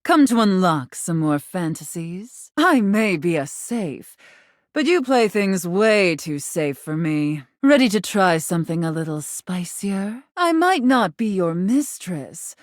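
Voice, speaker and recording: A woman voicing a haughty, contemptuous mistress in a video game, imperious and condescending, with drawn-out, teasing menace. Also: lowish voice, very animated, no echo, clean studio sound. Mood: teasing, seductive. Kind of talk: theatrical scripted game dialogue.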